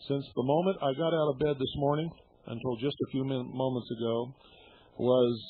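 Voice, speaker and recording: A man's voice: mid-range voice, level tone, normal speed, a little hiss or hum. A middle-aged man hosting a radio show, talking bluntly and indignantly to his listeners and swearing freely. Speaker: radio host. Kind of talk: shortwave radio monologue. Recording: tinny shortwave radio broadcast.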